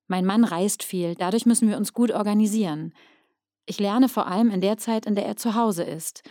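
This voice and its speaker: Female voice-over narrator, soft warm timbre, composed first-person testimonial read, very dry sound. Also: mid-range voice, no echo.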